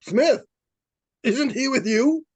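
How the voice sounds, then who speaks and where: Husky, slow-spoken ailing doctor in radio acting. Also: high-pitched, full character voice. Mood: shocked. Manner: slow, formal, halting. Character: ailing, courteous doctor shaken after a haunting. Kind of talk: 1940s radio suspense acting.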